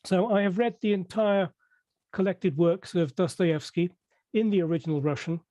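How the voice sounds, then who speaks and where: An older man from Merseyside, speaking without a regional accent, telling his story in an interview in a measured way, full of fillers and restarts. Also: high voice, mellow, no room sound. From Merseyside, England. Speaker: interview guest. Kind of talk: conversational interview.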